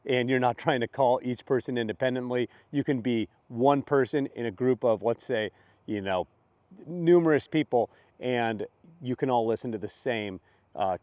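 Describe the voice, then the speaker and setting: A man's voice: mid-range voice, darkish tone, dry recording. A man hosting a casual question-and-answer session, explaining things in a conversational, matter-of-fact way. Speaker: host answering community questions.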